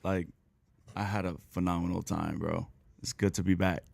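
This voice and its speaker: A hoarse man on a podcast, chatting excitedly. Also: low-pitched, moderate pace. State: hoarse from screaming. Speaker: podcast co-host. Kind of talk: casual chat.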